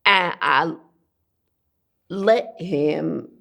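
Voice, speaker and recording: A young woman playing a weary, protective abused daughter, recounting trauma in a halting, deliberate dramatic monologue. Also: deep voice, vocal fry, bright, forceful tone, heavily acted. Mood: halting, pained. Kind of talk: dramatic stage-style monologue.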